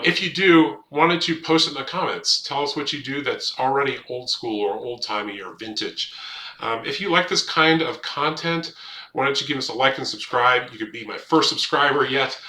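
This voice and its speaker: A middle-aged man hosts his own video channel with a bright, forward voice. He offers casual advice in plain words, feeling his way through sentences without a script. The room adds a noticeable echo. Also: medium pitch. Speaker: host of a history advice channel. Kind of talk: casual talk to camera, sharing advice. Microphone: desktop webcam setup. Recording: roomy, echoing room sound.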